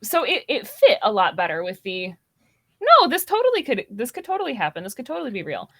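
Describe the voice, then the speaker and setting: A woman's voice: a little high, wildly swooping pitch, fairly quick, fairly bright tone, no echo, clean studio sound. A woman of about thirty chatting casually on a panel, playfully acting out imagined reactions. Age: around 30. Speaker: podcast panelist. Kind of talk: casual chat.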